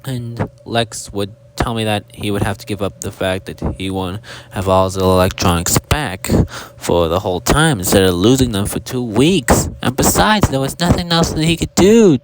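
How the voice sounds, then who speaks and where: A man's voice: lowish voice, moderate pace, natural voice. A man voicing a forgetful, kindly storyteller hippo who rambles formally and keeps losing his thread. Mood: earnest. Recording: very noisy amateur recording with clicks.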